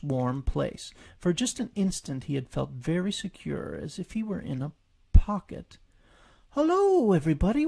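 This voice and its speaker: A man's voice: on the high side, creaky, mellow, slightly rough. A man narrating a story as a scripted audiobook reading. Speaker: author reading his own story.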